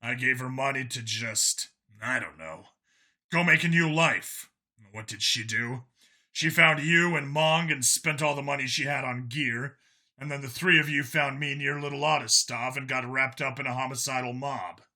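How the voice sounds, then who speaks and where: A man acts out a jaded male cop from a science fiction audiobook. The voice is bright and forceful, at a middling pitch. He speaks bluntly but haltingly, guarded about his feelings and questioning himself. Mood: troubled, unsure.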